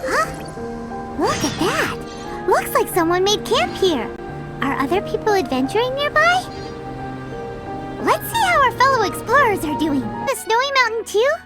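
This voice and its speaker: A woman voicing a tiny, childlike fairy companion in an anime-style video game, squeaky, perky and nosy. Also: big pitch swings, measured pace, pauses often, exaggerated voice. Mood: curious. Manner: perky, exclamatory, bouncy. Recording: loud music behind the voice. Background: playful game background music.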